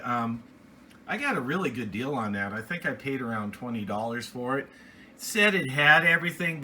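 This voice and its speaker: A man's voice: mid-range voice, sharp tone, a little hiss or hum. A middle-aged American man giving a casual, plainspoken, rambling product review from a small home office. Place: small indoor home office radio room.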